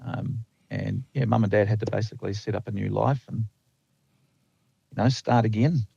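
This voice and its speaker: A man's voice: on the low side, steady tone, measured pace, creaky, dark tone, breathy edge, no room sound. A Dutch-born man with a slight New Zealand accent, a lineman chatting as a podcast guest, rambling with lots of fillers and restarts, in a slightly lo-fi recording. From Netherlands. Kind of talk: casual interview chat.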